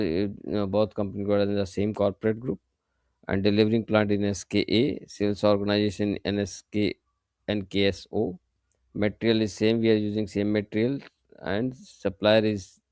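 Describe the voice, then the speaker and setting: A man narrating a step-by-step software tutorial in a plain, methodical, explanatory way. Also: lowish voice, no room sound, studio quality. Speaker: software instructor.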